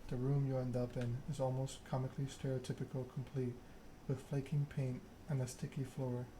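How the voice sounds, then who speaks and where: A man narrating a story game aloud in ASMR style, reading in a measured way with occasional stumbles. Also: mid-range voice, level tone, background noise.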